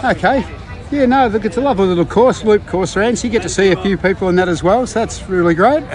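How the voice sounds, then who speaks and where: A man's voice: sits high, a crowd in the background. A man from Victoria, doing upbeat, joking roving interviews outdoors at a running event. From Victoria, Australia. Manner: upbeat, chatty, quick to joke. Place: outdoors at a park run event.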